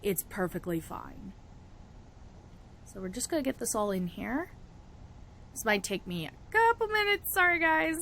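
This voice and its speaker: A young woman with a cold-roughened, raspy voice, chatting casually through a spell-making tutorial and laughing often. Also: on the high side, very animated, unhurried, pauses often, a bit bright, slight background noise. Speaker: witchcraft vlogger.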